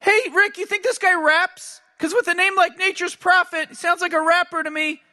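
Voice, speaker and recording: Man voicing a timid teenage boy, very high pitch, bright tone, stammering, whiny. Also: fairly even intonation, moderate pace, exaggerated voice. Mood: nervous. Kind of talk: comedic commentary on game characters.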